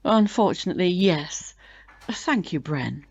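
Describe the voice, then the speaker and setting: A woman's voice: fairly low pitch, animated, measured pace, darkish tone, husky, dull audio, heavily acted. A woman playing a chatty, proud older British club chairwoman in an audio drama, genteel and theatrical in manner. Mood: indignant.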